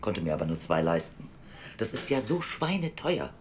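Deep-voiced woman interviewer, brusque and teasing, animated intonation, naturalistic audio drama. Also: moderate pace, a little hiss or hum. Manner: blunt, teasing, informal.

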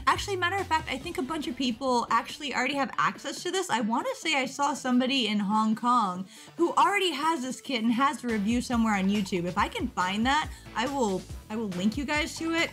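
An excitable young woman chatting at her webcam, jumping between thoughts and laughing at herself. Her voice sits a bit high and sounds tired. Faint music plays softly underneath. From United States. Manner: excitable, rambling, self-interrupting, laughs often. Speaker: model kit vlogger. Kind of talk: casual chat over a webpage.

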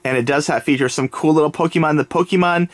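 A man's voice: a little high, quick pace. A man hosting a toy unboxing video, talking casually to viewers while sniffly from a summer cold, rambling with many fillers and restarts. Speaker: toy channel host. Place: indoors at a table.

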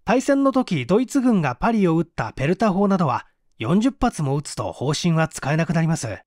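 Casting: man as earnest investigator-scientist for a storybook mystery; emphatic, lively, highish voice. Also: a little fast, no echo, clean studio sound. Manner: urgent, emphatic, persuasive. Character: earnest, bold young male researcher-detective.

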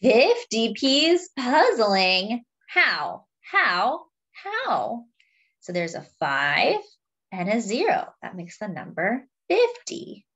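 A young adult woman reading a picture book aloud to small children, addressing them in a deliberate sing-song way. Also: a little high, very animated, fairly bright tone. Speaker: children's story reader.

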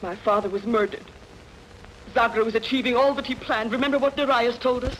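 A woman's voice: on the high side, steady tone, brisk, a bit bright, lightly acted. Woman acting a grave, despairing captive princess. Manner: melodramatic, grave. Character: despairing young captive princess, grieving and bitter. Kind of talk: dubbed sword-and-sandal film dialogue. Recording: faintly noisy old film soundtrack.

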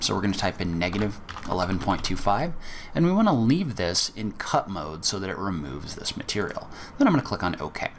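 A man with a very low voice talks through a software tutorial one step at a time. His delivery is methodical and casual, with the odd filler. Faint keyboard typing sits in the background. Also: slight background noise. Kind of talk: screen-recorded software walkthrough.

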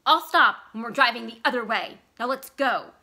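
A woman's voice: a little high, moderate pace, bright, forceful tone. A woman voicing a frightened, strained wife in a story reading, emphatic and pleading. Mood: insistent.

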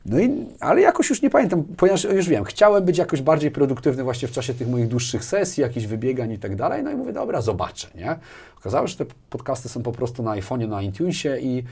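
A man's voice: medium pitch, quick pace, creaky, breathy edge. A middle-aged man talking casually as an interview guest, often repeating words as he thinks, on a lapel mic in a small studio. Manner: animated hand gestures, repeats words as he thinks. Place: small furnished indoor studio.

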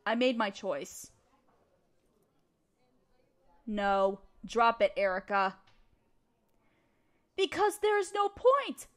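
A woman acting in an audio roleplay as a guarded, jealous, resigned barista who runs a cafe, speaking haltingly and defensively. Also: a little high, sing-song. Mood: frustrated, hurt. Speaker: amateur audio roleplay voice performer. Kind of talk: audio roleplay acting, one side of a dialogue.

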